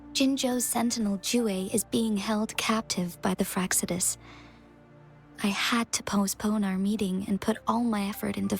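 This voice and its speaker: A woman's voice: mid-range voice, creaky. An actress playing a composed, gracious young female magistrate in a video game, speaking politely and formally.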